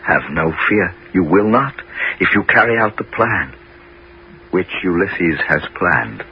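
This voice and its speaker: An actor playing a commanding middle-aged warrior king in a 1970s radio drama, with deliberate, measured dramatic phrasing. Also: low-pitched, expressive, slightly rough, heavily acted. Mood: reassuring. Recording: muffled, very noisy 1970s radio recording.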